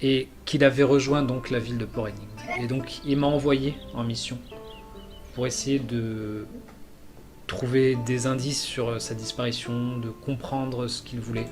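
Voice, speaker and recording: A man's voice: mid-range voice, fairly even intonation, music in the background, close to an everyday voice. Man playing a hesitant elf envoy. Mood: earnest. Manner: hesitant, halting with many fillers. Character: earnest male elf envoy from a jungle land.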